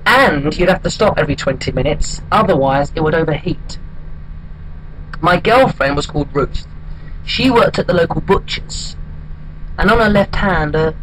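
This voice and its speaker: Guy playing a sad-sack single bloke doing a deadpan monologue, kinda high voice, bit of hiss. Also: poor audio. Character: hapless, wistful single man telling his odd life story. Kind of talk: comic dramatic monologue.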